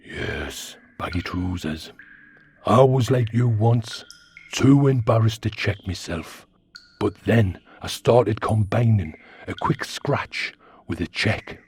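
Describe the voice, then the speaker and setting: A man voicing a chatty, insistent oddball worm-like butler in a radio sitcom, with a raspy British voice. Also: on the low side, animated, slow pace, full character voice. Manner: chatty, insistent, over-familiar. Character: talking worm and shambling butler, pestering oddball. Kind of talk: comedy radio sitcom acting.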